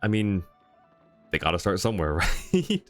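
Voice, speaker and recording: Low-voiced man, casual game livestreamer. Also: big pitch swings, normal speed. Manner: chuckling, wry, conversational. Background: faint game music.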